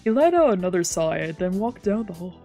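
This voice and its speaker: A woman's voice: lowish voice, big pitch swings, brisk, mellow, soft background music. A woman streamer narrating a visual novel aloud in a let's play, reading dramatically and sometimes stumbling over words.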